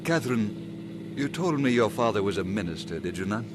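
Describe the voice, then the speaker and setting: An actor with a Scottish accent playing an earnest young preacher in a 1940s radio drama. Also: male voice, lowish voice, animated, moderate pace, background noise, lightly acted. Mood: tender. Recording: vintage radio recording with music.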